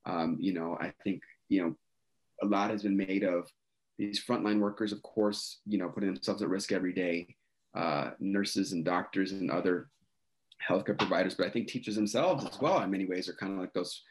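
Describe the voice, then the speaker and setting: A man co-hosting a podcast, talking in a measured way and hedging with "you know" and "I think". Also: sits low, breathy edge, low-quality recording. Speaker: podcast co-host.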